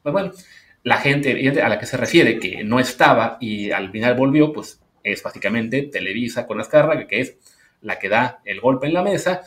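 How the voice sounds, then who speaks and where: A man's voice: medium pitch, animated, moderate pace, a bit bright, echoey room. A man co-hosting a sports podcast, chatting casually and loosely with many filler words. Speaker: podcast co-host.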